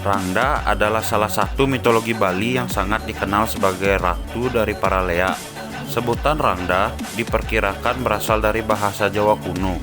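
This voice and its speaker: A man's voice: on the low side, steady tone, normal speed, music in the background. A man delivering scripted narration for a story as its narrator.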